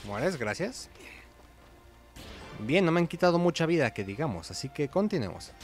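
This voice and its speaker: Dude chatting through a video game, casual, music going behind him. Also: male voice, fairly high pitch, very animated, normal speed. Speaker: gaming video host. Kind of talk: casual gameplay commentary.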